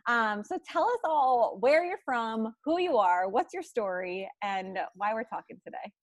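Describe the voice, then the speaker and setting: A woman's voice: on the high side, animated, unhurried, dry recording. A woman hosting a podcast, asking long, rambling, friendly questions in a casual chat. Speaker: podcast host.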